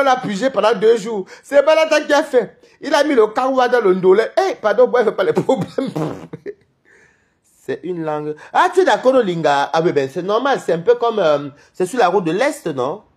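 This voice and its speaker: A man from Cameroon with a Cameroonian French accent, chatting on a live stream in a rambling, gossipy way. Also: sits high. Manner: rambling, animated, gossipy, laughs often. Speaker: livestream host. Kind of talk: live-stream chat with viewers.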